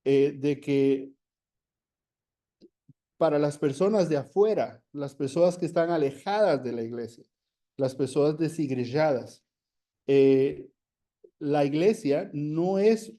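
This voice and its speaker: A man whose first language is Spanish, a guest mentor speaking to pastors in a blend of Spanish and Portuguese, slowly searching for his words. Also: a little high, dark tone. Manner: slow and deliberate, searching for words.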